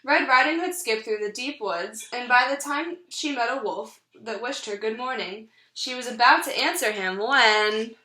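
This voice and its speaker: A woman reads a children's story aloud with expression. Her voice sits high and bright, and the room adds a noticeable echo. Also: normal speed, hardly any pauses. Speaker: storybook reader. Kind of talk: expressive read-aloud of a children's picture book. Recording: echoey, roomy sound.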